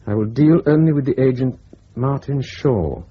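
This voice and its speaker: An actor playing a brisk, wry, exasperated intelligence officer in a radio drama. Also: male voice, medium pitch, close to an everyday voice. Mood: reading aloud. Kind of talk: broadcast radio drama acting. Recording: muffled radio recording, faint hiss.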